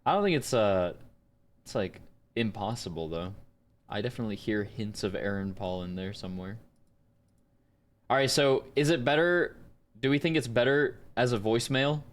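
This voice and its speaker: Low-key man chatting on a livestream. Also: expressive, normal speed. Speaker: streamer and voice actor. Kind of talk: casual livestream chat.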